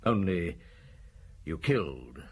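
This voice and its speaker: A stern, relentless police inspector with a low male voice. He is blunt, commanding and sure of his accusations. It is audio drama, with clear noise on the recording. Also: very animated, takes it slowly, full character voice. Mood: relentless, accusing. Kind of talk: audio drama acting, interrogation and narration.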